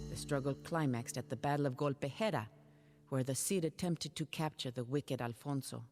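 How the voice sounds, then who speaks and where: A woman playing a proud, sorrowful storyteller in dramatic scripted game narration. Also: rumbling low. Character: proud, sorrowful loyal storyteller of a fallen knight.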